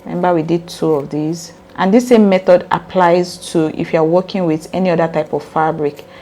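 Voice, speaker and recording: A woman with a raspy voice hosting a casual step-by-step sewing tutorial, chatty and earnest as she talks straight to her viewers. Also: on the low side, steady tone, moderate pace, dark tone.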